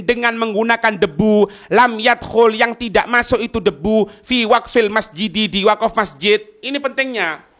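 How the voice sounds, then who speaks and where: A man's voice: high voice, fairly bright tone. A man with an East Javanese accent giving an informal religious lecture as an Islamic teacher, explaining point by point and asking and answering his own questions. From East Java, Indonesia. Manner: asks and answers own questions, checks listeners understand.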